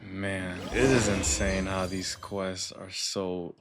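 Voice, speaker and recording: Low-voiced young male gaming streamer. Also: monotone, slow pace, very noisy. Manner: slangy, informal. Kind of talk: casual reaction commentary.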